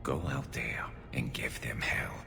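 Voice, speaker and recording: A wry, loyal alien war comrade from a video game, speaks in an extremely low voice with a faint metallic doubling. The tone is dry and confiding, thick with gravel, and music plays behind it. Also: male voice, level tone, measured pace, in a whisper, full character voice. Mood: tender, earnest. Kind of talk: video game dramatic acting. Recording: long reverb, music behind.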